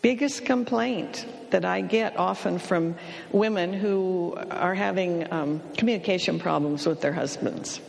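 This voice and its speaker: A woman counselor giving an advice talk to an audience, speaking in a dry, wry and matter-of-fact way and gently teasing the men. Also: fairly low pitch, a little slow, creaky. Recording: long reverb of a hall.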